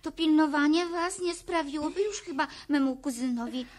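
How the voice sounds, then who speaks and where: A woman's voice: sits high, moderate pace, close to an everyday voice. A woman playing a kindly, fussy, hospitable female creature in a children's radio play. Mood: coaxing.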